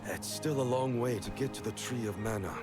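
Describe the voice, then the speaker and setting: A man voicing a calm, solemn travelling companion with measured, formal speech in a fantasy video game. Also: mid-range voice, close to an everyday voice. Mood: solemn, reassuring. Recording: game audio with music behind. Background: game music.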